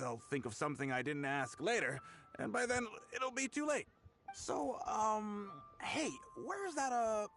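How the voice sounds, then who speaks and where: Smarmy scheming boss,, video game character, animated delivery, higher-pitched, exaggerated. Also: male voice. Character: slimy, scheming male boss, bullying yet falsely flattering.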